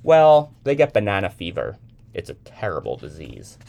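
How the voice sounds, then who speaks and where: Man acting a whimsical young man, lively and swooping. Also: sits low, normal speed, vocal fry, pitched above the performer's own voice, heavily acted. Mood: mock-serious. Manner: whimsical, mock-serious storytelling. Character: playful, eccentric young man teasing a child, edgy with adults.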